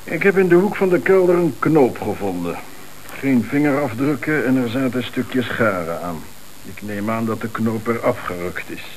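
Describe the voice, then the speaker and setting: Hissy radio drama, male actor as forensic policeman, mid-pitched, precise, factual. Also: expressive, normal speed, close to an everyday voice, low-quality recording. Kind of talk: radio play acting. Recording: hissy old radio play recording.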